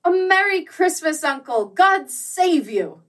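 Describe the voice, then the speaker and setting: A woman's voice: very high voice, sing-song, slow, fairly bright tone, recorded from a distance, voice pushed up, exaggerated voice. A woman voicing a cheerful, hearty young nephew in a storybook reading, gaily emphatic and exclaiming. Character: cheerful hearty young nephew, a man, full of glowing goodwill. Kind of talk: storybook audiobook character voice.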